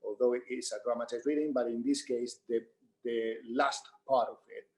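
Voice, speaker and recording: Spanish guy, native spanish speaker, theatre director doing a q&a, normal pitch, kinda halting and repeats himself. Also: fairly even intonation, darkish tone, rough recording. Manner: halting, repetitive phrasing. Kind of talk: unscripted panel Q&A talk.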